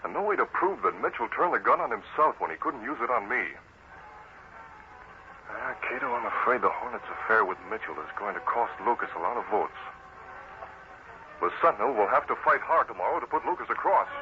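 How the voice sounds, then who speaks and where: Man playing urbane playboy publisher, lively old-radio delivery. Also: medium pitch, fairly quick, close to an everyday voice. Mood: troubled. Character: wealthy playboy publisher secretly a masked vigilante, composed, urbane. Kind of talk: 1940s radio drama acting. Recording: dull, noisy old-time radio recording.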